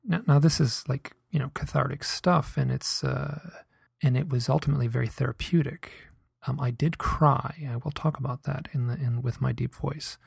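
Brief: earnest soft-spoken man, gravelly husky tone, dry close sound, musing monologue. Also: medium pitch, steady tone, moderate pace, no echo. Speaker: podcaster recounting a hypnosis session. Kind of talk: reflective personal monologue.

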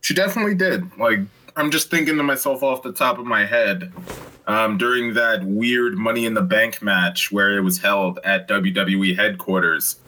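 A man's voice: lowish voice, moderate pace, sharp tone, rough recording. A man joining a casual wrestling podcast as a guest, talking loosely and bluntly and swearing now and then.

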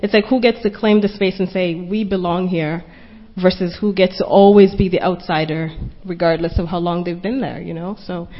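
A woman's voice: lowish voice, fairly even intonation, normal speed. A woman panelist speaking earnestly and reflectively at a conference panel discussion, thinking aloud as she goes. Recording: dull lo-fi conference recording.

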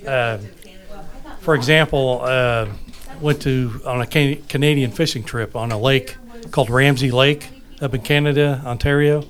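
A man with an ordinary mid-range voice talks in relaxed chat. He speaks hesitantly, halting and filling the gaps with uhs. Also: medium pitch. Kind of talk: casual chat.

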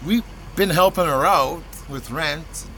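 A landowner who hates to ask for favours. He speaks slowly and haltingly, apologetic and indirect, in a naturalistic radio-play style, with clear engine noise outdoors behind him. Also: a bit bright, low-quality recording. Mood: hesitant, pleading. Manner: halting, low-key, indirect. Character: hesitant, apologetic male landowner asking for money. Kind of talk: naturalistic audio drama dialogue.

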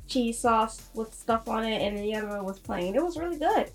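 A woman chatting casually in a halting, meandering way. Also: fairly high pitch, lively intonation, recorded from a distance. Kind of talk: casual chat.

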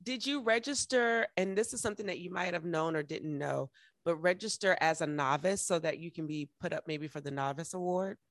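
A woman with an American accent hosting a casual interview in an animated, encouraging, emphatic way. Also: on the low side, fairly quick, no room sound, clean studio sound. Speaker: podcast host.

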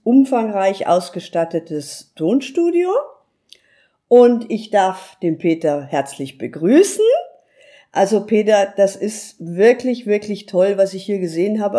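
A woman's voice: mid-range voice, dark tone. A woman hosting a conversational, radio-style interview in an enthusiastic, complimentary manner. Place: home recording studio indoors.

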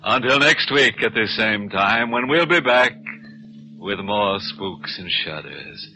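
Casting: male macabre radio host, lowish and very bright, sardonic and theatrical, vintage broadcast. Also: lively intonation, slight background noise, rough recording. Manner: theatrical, sardonic, drawn-out delivery. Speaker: mystery show host. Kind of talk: macabre, tongue-in-cheek 1940s radio hosting. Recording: old radio recording.

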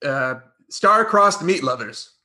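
A man improvising a screenwriter in a comedy scene, chiming in with eager, quick interjections. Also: a little high, expressive, bright, forceful tone.

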